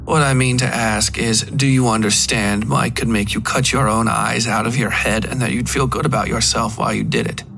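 A man voicing a coolly taunting, menacing woman in a dark fiction story. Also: medium pitch, fairly even intonation, normal speed, vocal fry, hardly any pauses, slight background noise. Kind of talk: dark fiction audiobook reading.

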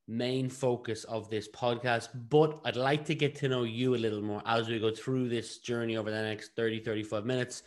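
A man with an Irish accent hosting a podcast and putting questions to a guest in an informal, conversational way. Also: mid-range voice. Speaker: podcast host. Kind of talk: conversational interviewing.

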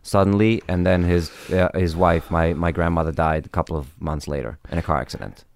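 A man from Brooklyn with a New York Yiddish accent and a slight Israeli tinge, a native Yiddish speaker chatting casually as an interview guest in a halting, wry, informal way. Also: deep voice, gravelly, darkish tone, no room sound, clean studio sound.

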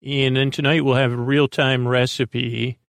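A man with a creaky voice hosting a sleep podcast, meandering through a soothing, hesitant bedtime monologue full of tangents. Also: medium pitch, flat delivery, a little slow, no room sound. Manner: soothing, lulling delivery. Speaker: podcast host.

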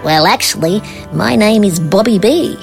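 A woman's voice: medium pitch, expressive, normal speed, gravelly. A woman voicing a lonely, friendly little male bee in a children's story, over background music. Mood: eager.

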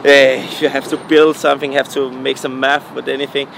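A young man from Denmark, a DJ and music producer, answering interview questions in halting, filler-heavy English with a Danish accent. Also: mid-range voice, level tone, vocal fry, a little hiss or hum. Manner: halting non-native English, frequent fillers.

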